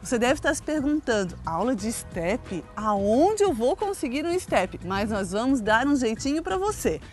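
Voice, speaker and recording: A woman hosts a TV health program, her voice bright and a little high as she chats cheerfully with a guest and speaks to viewers at home. Music runs clearly under the talk. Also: very animated, normal speed. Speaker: TV show host. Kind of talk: TV studio interview. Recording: TV studio with background music.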